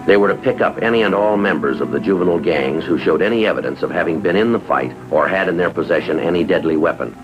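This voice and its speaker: A man's voice: deep voice, quick pace, hardly any pauses, close to an everyday voice. An American man playing a stoic, businesslike police detective in a 1950s drama, speaking in a clipped, matter-of-fact way. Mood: flat, official. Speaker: police detective lieutenant and narrator. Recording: dull vintage TV soundtrack, music behind.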